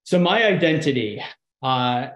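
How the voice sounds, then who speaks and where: A man's voice: mid-range voice, expressive, measured pace, a bit bright. A man in his thirties giving a conversational slide talk, with frequent ums. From United States. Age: 30s. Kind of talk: presentation with slides. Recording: echoey room.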